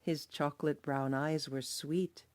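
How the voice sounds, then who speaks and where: A woman teacher reading a children's storybook aloud, slow and expressive. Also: low voice, dry recording. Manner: slow, expressive, lively voices for characters.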